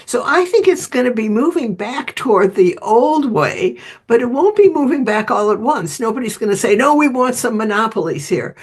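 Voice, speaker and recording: A woman answering interview questions as an expert author, explaining conversationally and voicing short imagined quotes as she goes. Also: medium pitch.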